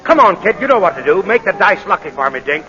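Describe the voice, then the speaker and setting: An actor playing a rough, excitable gambling prizefighter and father in a 1940s radio drama. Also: high-pitched, fast talker, exaggerated voice. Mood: excited, gruff. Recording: muffled old 1940s radio recording.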